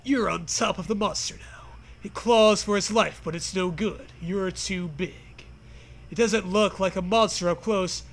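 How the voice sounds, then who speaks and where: High-voiced man reading with slow drama. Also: vocal fry. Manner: mock-dramatic, swears casually. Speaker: co-host reading aloud. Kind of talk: comic dramatic read-aloud of an absurd story.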